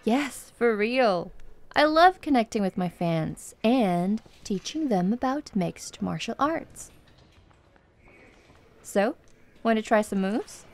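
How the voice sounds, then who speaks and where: A woman's voice: fairly high pitch, animated, slow. A woman playing a flirty, confident tomboy MMA fighter in an ASMR roleplay, with a playful, teasing, coaxing manner. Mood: playful, inviting.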